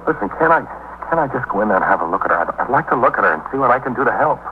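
A man's voice: mid-range voice, big pitch swings, very fast, natural voice. A man acting an anxious, evasive husband in a 1950s radio police drama, hesitant, repetitive and defensive. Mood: worried, pleading. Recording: muffled, noisy 1950s radio recording.

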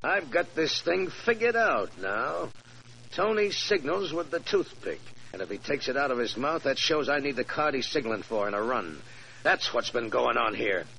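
Menacing car owner, gravelly, terse, accusing, 1940s radio crime drama, slightly noisy old recording. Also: male voice, mid-range voice, sing-song, moderate pace, sharp tone, exaggerated voice. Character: suspicious, menacing race-car owner and sore loser.

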